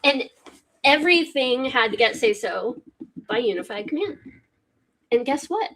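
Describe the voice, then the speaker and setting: A woman's voice: high-pitched, expressive, measured pace, a bit bright, a little hiss or hum, low-quality recording. A woman hosting a casual true-crime talk show, reading a court affidavit aloud and commenting on it in a chatty way. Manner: chatty, reads aloud then comments with swearing asides. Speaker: podcast host.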